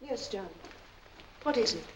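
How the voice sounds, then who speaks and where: A woman's voice: on the high side, lively intonation, unhurried, slight background noise, low-quality recording. An actress in a 1940s film noir playing a genteel, anxious mother of a grown son, speaking properly and politely with a pleading manner. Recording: old 1940s film soundtrack.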